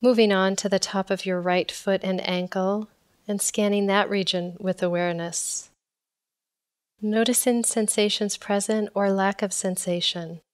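A woman leading a guided body scan meditation in a calm, measured, instructional way. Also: medium pitch, clean tone.

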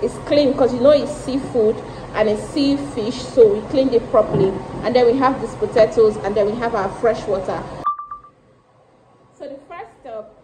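A woman's voice: fairly high pitch, moderate pace. A woman in her thirties, a cooking vlogger, chatting straight to viewers as she walks through a recipe at home. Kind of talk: casual cooking walkthrough. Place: home kitchen. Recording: echoey kitchen with background noise.

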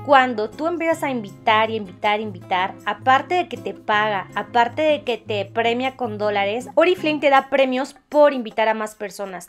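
High-voiced Mexican woman explaining enthusiastically over music. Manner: enthusiastic, chatty, addresses the listener directly. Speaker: network marketing recruiter and presenter. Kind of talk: casual explainer voice-over for drawn whiteboard video.

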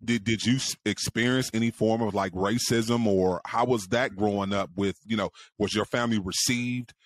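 A man in his forties hosting a radio interview in a studio, friendly and animated as he asks questions. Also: fairly low pitch, moderate pace, no room sound. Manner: animated, friendly, rising when asking. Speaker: radio show host. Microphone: studio mic with headphones.

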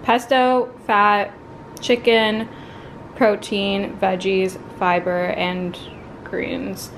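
A young woman with a mid-pitched voice chats casually as she vlogs. She rambles informally and thinks out loud as she goes. The camera audio carries clear ambient noise behind her. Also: flat delivery, extremely slow. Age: 20s. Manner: informal, meandering, thinking aloud. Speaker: lifestyle vlogger. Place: home apartment kitchen, sometimes street. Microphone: handheld or propped camera.

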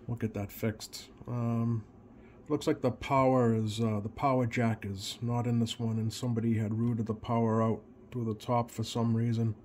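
A man giving a casual hands-on demonstration in a halting, unscripted way, as a hobbyist presenter at a workbench. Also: mid-range voice, flat delivery, a little mellow. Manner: halting, hesitant, unscripted delivery. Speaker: radio repair hobbyist presenter. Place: indoors at a workbench. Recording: clear background noise.

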